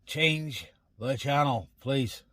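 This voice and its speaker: A man's voice: mid-range voice, extremely slow, a bit bright, no echo. A man operating CB radio, chatting casually and making calls in a hesitant, conversational way with radio phrases. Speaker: CB radio operator, net helper.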